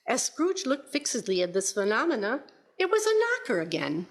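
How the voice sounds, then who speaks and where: A woman serves as narrator in a radio play. She reads in a dramatic storybook manner, her voice sitting a little high. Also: wildly swooping pitch, normal speed.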